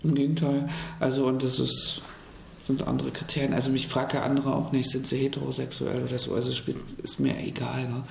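Vox pop man, very gravelly voice, hesitant, echo around him, faint noise. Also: medium pitch, completely flat delivery, a little fast, a little mellow. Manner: hesitant, restarts often with fillers. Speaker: street interviewee. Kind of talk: unscripted vox pop answer.

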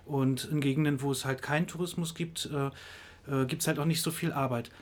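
A man's voice: on the high side, steady tone, a little fast. A middle-aged man from Greifswald answering interview questions in a radio studio, hesitant with many fillers. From Greifswald, Germany. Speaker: interview guest, travel website operator.

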